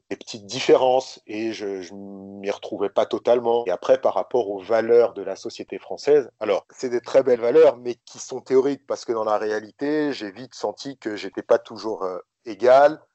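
A man guesting on a podcast, speaking in a reflective, thoughtful way with frequent pauses and fillers. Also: fairly low pitch, dark tone, no room sound, muffled recording. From France. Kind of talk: reflective interview talk.

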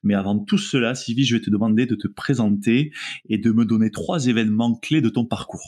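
A man's voice: on the low side, fairly quick, darkish tone. A man hosting an interview podcast, introducing his guest and asking questions with many fillers and restarts. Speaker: podcast host.

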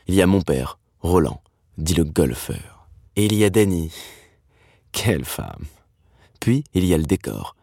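A man's voice: deep voice, dark tone, no echo, studio quality. An actor playing a dreamy screenwriter in his thirties, who tells his father's story reflectively in an intimate podcast drama. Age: 30s.

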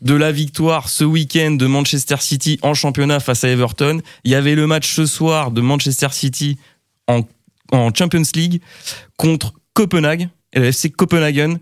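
A young man from Brittany, co-hosting a casual football podcast and talking quickly with many fillers and restarts. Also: fairly high pitch, fairly even intonation, clear, resonant tone, no echo, studio quality. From Brittany, France. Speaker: podcast co-host.

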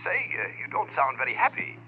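An actor playing a brisk, animated solicitor heard through a telephone filter in a 1950s radio drama. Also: male voice, medium pitch. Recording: tinny phone line, noisy old radio recording.

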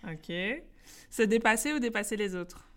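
A woman hosting a podcast interview, upbeat and playful, laughing often and firing off quick questions. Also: on the high side, expressive, fairly bright tone. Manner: quick-fire quiz questions. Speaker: podcast host interviewing a guest. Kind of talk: casual interview chat.

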